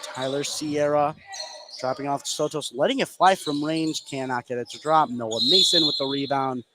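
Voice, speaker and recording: A man's voice: fairly high pitch, moderate pace. A man doing live play-by-play basketball commentary as a radio sports announcer. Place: indoor basketball gym, live radio broadcast. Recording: clear background noise, live gym ambience.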